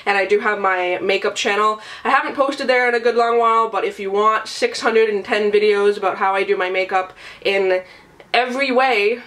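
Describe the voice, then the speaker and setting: A woman's voice: mid-range voice, steady tone, moderate pace, a bit bright. A woman talking with a stuffy, nasal voice because she is sick. She rambles in a loose, chatty vlog style and swears casually as she goes. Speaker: beauty and book vlogger.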